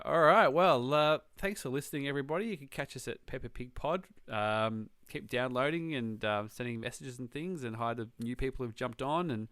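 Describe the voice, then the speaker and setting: A man's voice: mid-range voice, smooth, dry recording. Dry-sounding male podcast co-host. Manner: relaxed, joking, trailing restarts. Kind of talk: casual chat.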